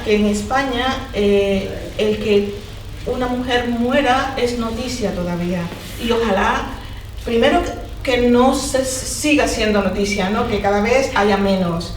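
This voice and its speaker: A woman's voice: medium pitch, slight background noise. Hesitant woman in an echoey hall. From Colombia, Caribbean coast. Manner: hesitant, searching for words, many fillers and restarts; puts on a mocking voice when quoting others. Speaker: panelist at a debate. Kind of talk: unscripted public talk. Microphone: far from the microphone. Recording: echoey hall, distant mic.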